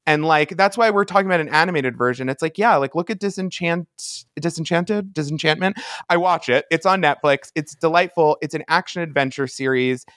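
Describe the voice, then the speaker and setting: A man's voice: on the high side, a little fast, fairly bright tone, no echo, clean studio sound. A man in his thirties co-hosting a podcast, speaking effusively and emphatically in a casual fan discussion. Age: 30s. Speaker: podcast host.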